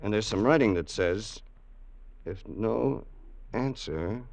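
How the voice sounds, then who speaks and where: An actor playing a loyal, wary gangster's sidekick in an old radio crime drama, colloquial and hardboiled. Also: male voice, fairly low pitch, lively intonation, takes it slowly, creaky, darkish tone, heavily acted. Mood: hesitant, wary. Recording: noisy old radio recording.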